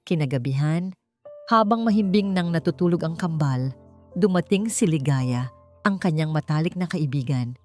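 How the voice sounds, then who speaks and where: A woman with a slightly low voice narrates a romance drama as scripted storytelling. Faint music plays behind her. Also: lively intonation, normal speed, darkish tone.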